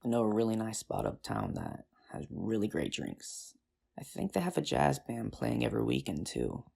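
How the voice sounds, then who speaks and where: A performer in an ASMR roleplay playing a nerdy, earnest high school teacher, rambling with hesitant, self-deprecating charm in an intimate, conversational way. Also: huge pitch swings, normal speed, creaky, dark tone, husky, heavily acted. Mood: pleased, earnest.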